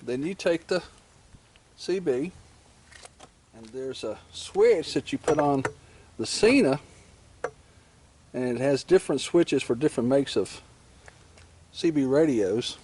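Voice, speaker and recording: A man's voice: mid-range voice, expressive, takes it slowly, long pauses, mellow. A man giving a casual, plain-spoken, step-by-step tutorial while he works with his hands. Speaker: motorcycle vlogger demonstrating gear installation. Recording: clear ambient and vehicle noise.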